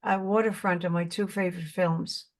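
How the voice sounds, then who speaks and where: A woman's voice: on the low side, steady tone. Earnest older New York woman. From Brooklyn, New York. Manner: earnest, halting, repeats words while searching. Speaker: actress guest. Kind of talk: casual interview chat.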